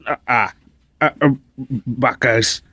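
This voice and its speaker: A man's voice: mid-range voice, steady tone, extremely slow, sharp tone, husky, no echo, heavily acted. A man playing a flustered villager in a comic radio serial, hesitant and stammering. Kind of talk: comedic radio serial acting.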